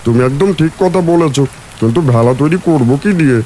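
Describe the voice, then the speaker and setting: A man's voice: a little high, lively intonation, brisk, noisy background, lightly acted. A man voicing an eager village neighbor.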